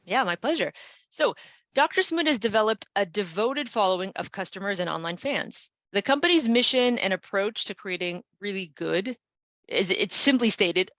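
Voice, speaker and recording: A woman's voice: mid-range voice, fairly bright tone, no echo. A woman speaks as a podcast host, presenting and interviewing in a mid-range voice. The sound is close and dry, with a slightly dull, lo-fi edge to the recording. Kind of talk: scripted podcast introduction and interview questions.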